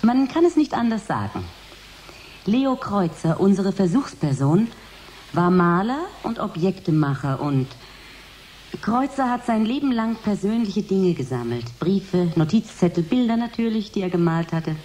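An actress playing a doctor who heads a research section, explaining matter-of-factly in a radio play interview. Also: female voice, medium pitch, lively intonation, measured pace, warm tone, natural voice. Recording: noisy 1980s radio recording.

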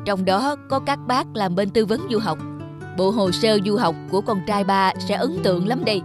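A man's voice: high voice, normal speed, over music, close to an everyday voice. Proud, pushy middle-aged father played by a man. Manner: firm, insistent. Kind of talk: cartoon dialogue.

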